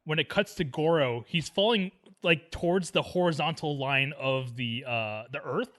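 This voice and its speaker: A man with a fairly high, bright voice talks movies as a podcast co-host. He keeps it slangy and jokey, in loose casual conversation. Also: normal speed. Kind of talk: casual chat about films.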